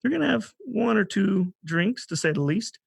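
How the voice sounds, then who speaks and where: A man's voice: high voice, big pitch swings, normal speed, creaky, breathy edge, no room sound, clean studio sound. A man hosting a podcast in a conversational introductory style, often thinking aloud and rephrasing as he goes. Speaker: podcast host.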